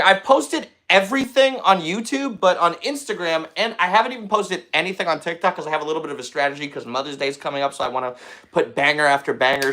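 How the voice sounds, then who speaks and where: A man's voice: a little high, a bit bright. A man hosting a live-streamed comedy podcast from his apartment, hyping donations and repeating catchphrases. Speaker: podcast host. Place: apartment in a big city.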